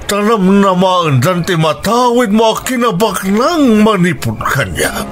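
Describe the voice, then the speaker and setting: A man's voice: high voice, fairly bright tone, heavily acted. A furious rich father. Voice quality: scornful, scolding. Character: wealthy stern father, furious at his daughter. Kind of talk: radio drama acting.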